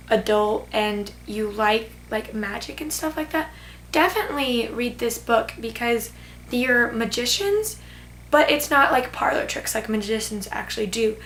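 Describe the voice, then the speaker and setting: A young woman with a fairly high voice chats on about a book she loved, rambling and gushing with plenty of filler words. Her voice is hoarse and fading. A faint hum sits under the recording. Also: a bit bright. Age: teens. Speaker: book vlogger. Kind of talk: casual book review.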